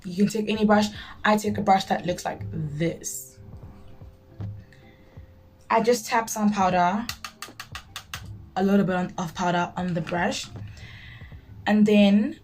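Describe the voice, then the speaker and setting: Girl of 19 just chatting away, blunt and emphatic, says stuff twice to make the point, medium pitch. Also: takes it slowly, long pauses, darkish tone, faint music behind. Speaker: beauty vlogger. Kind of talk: casual chat while doing makeup, answering viewer questions.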